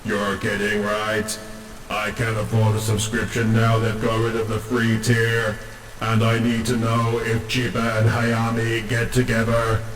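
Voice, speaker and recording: A man's voice: fairly low pitch, monotone, a little slow, a bit bright, roomy sound, distant mic, a little hiss or hum, rough recording. A man playing a pompous, literal-minded combat robot who speaks in stilted, grandiose phrases in a comedic audio drama. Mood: earnest. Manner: stilted, grandiose, refers to itself as unit.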